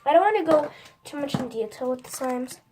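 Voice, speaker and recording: High-voiced girl with a stuffy cold. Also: lively intonation, brisk, noisy background. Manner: rambling, many likes and restarts. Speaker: vlogger. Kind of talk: casual chat to camera. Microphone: phone.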